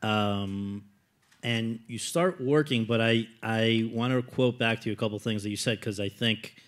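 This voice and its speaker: A man's voice: lowish voice, a little slow. A man hosting an on-stage interview, asking long, thoughtful questions with hesitant restarts. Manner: thoughtful, quotes back the guest's words, hesitant restarts. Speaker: interviewer, festival talk host.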